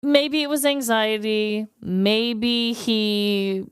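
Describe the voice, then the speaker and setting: An American woman chats casually as a podcast co-host, emphatic and playfully exasperated. Her voice is fairly high and clear, and she takes her time. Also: steady tone, very slow, no echo, clean studio sound. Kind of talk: casual banter.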